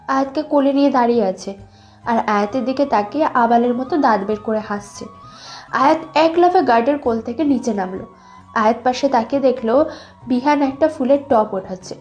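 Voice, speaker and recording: Casting: female story narrator, high voice, scripted romantic fiction, faint music bed. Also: darkish tone.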